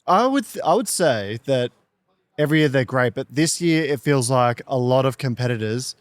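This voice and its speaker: A man's voice: medium pitch, lively intonation, moderate pace, no room sound. A man hosting a show, interviewing a guest in a conversational style.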